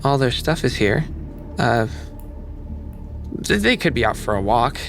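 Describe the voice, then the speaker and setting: A man's voice: mid-range voice, big pitch swings, measured pace, fairly bright tone. A man acting in an audio drama as a skeptical, uneasy true crime podcaster. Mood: dismissive, skeptical. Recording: music under dramatic dialogue.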